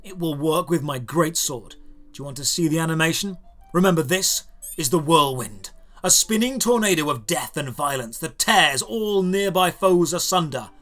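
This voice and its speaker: A man's voice: a little high, fairly bright tone. A man narrating a scripted video game review in a dry, sarcastic way, with touches of theatrical emphasis.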